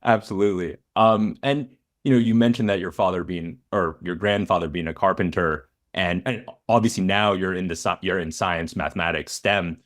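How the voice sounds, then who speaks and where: A man's voice: low-pitched, moderate pace, fairly bright tone. A man speaking as a podcast host and interviewer. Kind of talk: casual podcast interview with scripted intro.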